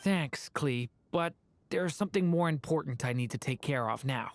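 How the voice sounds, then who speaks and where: Gentle dragon-turned-boy, soft-spoken, earnest, deep for a woman. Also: expressive, normal speed, dry recording. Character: gentle young boy who was once a dragon. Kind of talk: game voice acting.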